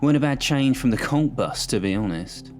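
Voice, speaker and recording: A man's voice: mid-range voice, animated, a little fast, darkish tone, soft background music, pitched above the performer's own voice, close to an everyday voice. A man voicing a blunt, impatient male detective who swears freely, in an audiobook.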